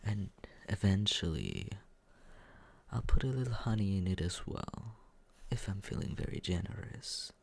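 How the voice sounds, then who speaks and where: A young man voicing a smug young man who teases and quietly looks after the listener, in a close, soft, whispered roleplay. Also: deep voice, measured pace, vocal fry, exaggerated voice. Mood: teasing. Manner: teasing, condescending, soft whispering. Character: smug teasing young man, mockingly caring. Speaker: ASMR roleplay performer.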